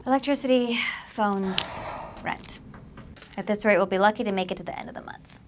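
A woman acting as a dry, weary young office assistant in a film drama, speaking in a deadpan way. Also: medium pitch, fairly quick, natural voice. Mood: dry worry. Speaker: actress in a short film. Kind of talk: film dialogue.

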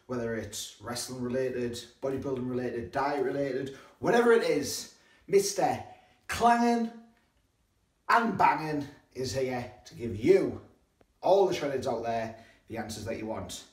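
A man's voice: medium pitch, lively intonation, a little slow, reverberant, distant mic. A brash, jokey young man, vlogging casually into a phone camera at arm's length. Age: young adult. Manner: brash, jokey, swears freely. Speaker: vlogger and wrestler. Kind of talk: casual vlog chat. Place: home living room, also outdoors at night.

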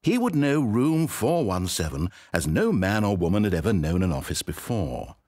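A needy, sarcastic game narrator. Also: male voice, lowish voice, moderate pace. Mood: wry. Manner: swings between pleading, sarcasm and excitement. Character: fussy, needy male narrator of an office game.

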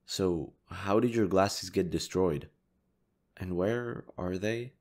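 A man playing a kind, reassuring young stranger in an intimate audio roleplay, speaking gently, considerately and a little hesitantly. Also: low-pitched, takes it slowly. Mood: concerned, curious. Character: kind, reassuring young male stranger helping a distressed girl.

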